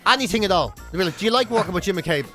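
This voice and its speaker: A man's voice: sits high, expressive, racing, loud music behind the voice. A middle-aged Irish man chatting casually as a comic podcast host, joking, self-mocking and freely swearing. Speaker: podcast and radio host, comedian.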